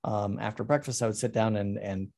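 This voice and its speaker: A middle-aged man talking casually about his artwork on a video call, halting with many ums and restarts. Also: fairly low pitch, steady tone, creaky, mellow. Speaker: artist presenting his work. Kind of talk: casual talk over a slide presentation.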